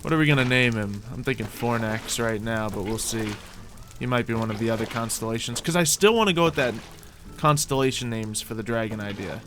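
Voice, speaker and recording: A man's voice: medium pitch, a little fast, fairly bright tone, a little hiss or hum. A man commentating on his gameplay in character, theatrical and mock-boastful, muttering to himself and repeating his phrases. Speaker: gaming video host.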